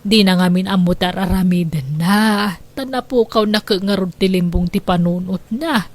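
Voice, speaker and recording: A woman's voice: mid-range voice, moderate pace, natural voice. A woman acting a long-suffering elderly mother and grandmother in a radio drama, tender, pleading and sighing. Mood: weary, pleading.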